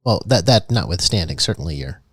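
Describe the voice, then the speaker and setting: A man hosting a comedy podcast in a mock public-radio style, earnest and halting with many restarts. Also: low-pitched, expressive, fairly bright tone, slightly rough, dry recording, studio quality. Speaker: host.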